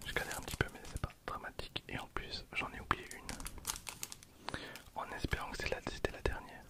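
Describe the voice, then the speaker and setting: A man in his twenties whispering softly and informally, as in a casual ASMR video with hushed running commentary. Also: moderate pace. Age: 20s.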